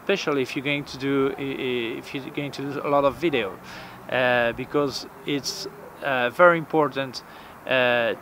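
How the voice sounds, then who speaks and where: A man's voice: medium pitch, fairly even intonation, moderate pace, a bit bright. Hesitant man with a foreign accent. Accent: non-native English. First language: not English. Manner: hesitant, many fillers and repeated words. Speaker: camera reviewer. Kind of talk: casual camera review vlog. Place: outdoor urban plaza on a bench. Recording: faint outdoor street noise. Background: faint street ambience.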